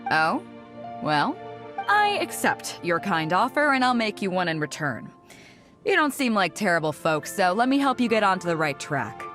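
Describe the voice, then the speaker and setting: A woman's voice: medium pitch, sing-song, moderate pace, a bit bright. An actress playing a confident, easygoing female pirate captain in a video game, with a swaggering, casual and self-assured manner. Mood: confident, generous. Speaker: video game character voice actor. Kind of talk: scripted game dialogue. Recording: clean studio voice over game music. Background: game background music.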